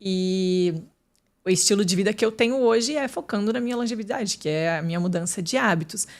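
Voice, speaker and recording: A woman's voice: mid-range voice, fairly quick. A woman, a podcast guest talking candidly and casually, with many fillers and restarts. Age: 30s. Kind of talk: casual interview chat.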